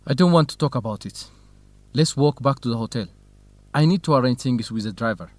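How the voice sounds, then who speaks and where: A man's voice: medium pitch, lively intonation, fairly quick, dry recording, lightly acted. An actor playing a dutiful, determined young man from Ethiopia in a radio drama for English learners. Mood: hurt, withdrawn. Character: young Ethiopian farm son, dutiful, determined, quietly lovestruck.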